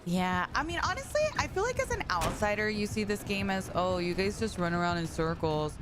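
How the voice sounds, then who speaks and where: A woman's voice: mid-range voice, big pitch swings, a little fast, music in the background. A tired young woman chatting casually on a live stream, greeting viewers by name with lots of "like" and "you know". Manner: chatty, reads and greets chat, frequent likes. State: tired from staying up late. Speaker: livestreamer.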